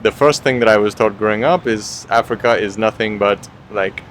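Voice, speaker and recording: A man from the United States in his thirties, a relaxed interview guest who slips in wry jokes. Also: lowish voice, normal speed, a little hiss or hum, low-quality recording. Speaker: guest, family vlogger. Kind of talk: casual interview chat.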